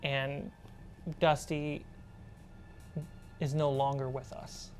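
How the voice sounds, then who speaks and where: A woman's voice: a bass voice, little pitch movement, extremely slow, darkish tone. A woman running a tabletop role-playing game as game master, narrating scenes and answering players. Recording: background music.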